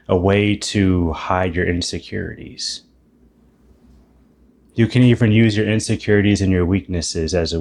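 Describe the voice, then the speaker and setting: A man in his thirties with a very low, deep voice talks to his channel's viewers. He muses out loud about spiritual ideas, often starting a sentence over. His tone stays reflective and a little self-deprecating. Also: slight background noise. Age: 30s. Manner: musing, self-deprecating, thinking aloud with restarts. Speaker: YouTuber.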